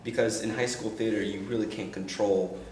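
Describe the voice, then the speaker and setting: A teenage boy with a lowish voice speaks earnestly, stumbling through fillers as he answers an interviewer. The room is echoey, with a faint hiss of noise behind him. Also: steady tone, a little mellow. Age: teens. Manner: halting, filler-heavy, earnest. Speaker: high school actor.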